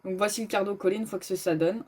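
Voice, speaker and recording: A woman talks through a tutorial in an informal, explanatory way, calling out each step as she reaches it. Her voice sits at a medium pitch for a woman. Soft music plays behind her. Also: fairly even intonation, quick pace, slightly rough. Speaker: host of a motorcycle gear tutorial. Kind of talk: casual step-by-step tutorial voice-over.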